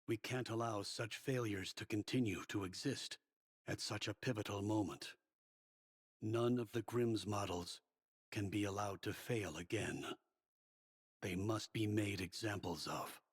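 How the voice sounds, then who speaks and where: Husky, grave military commander. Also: male voice, lowish voice. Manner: solemn, measured, authoritative. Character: grave, weary male commander giving ruthless orders. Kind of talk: dramatic game cutscene voice acting.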